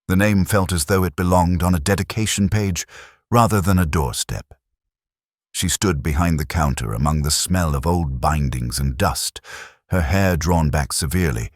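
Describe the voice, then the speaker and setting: A man giving a measured, deliberate first-person reading of a literary detective story, as its narrator. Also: rumbling low, dry recording, clean studio sound.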